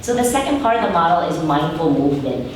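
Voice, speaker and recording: A hoarse woman, a yoga and mindfulness teacher, calmly explaining her classes to a live audience. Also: sits low, quick pace, slight background noise. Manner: steady, explanatory, asks the audience questions. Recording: roomy hall mic from a distance.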